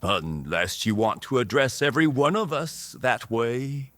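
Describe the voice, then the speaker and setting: An actor playing a charismatic outlaw rebel leader, commanding and reassuring, in an audio drama with deliberate, emphatic delivery. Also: male voice, mid-range voice, big pitch swings, a bit bright, no room sound. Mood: amused, wry.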